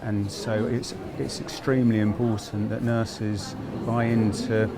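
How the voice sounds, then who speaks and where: A man with a fairly low voice makes a measured case for health technology, citing figures as he goes. He speaks at a busy event, with a crowd chattering behind him. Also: fairly even intonation, gravelly. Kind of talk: panel interview at an event. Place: conference event.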